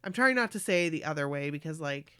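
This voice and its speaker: A woman co-hosting a casual podcast, chatty and animated, who is losing her voice. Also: low-pitched, brisk, smooth, dry recording, clean studio sound. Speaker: podcast co-host. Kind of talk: casual chat.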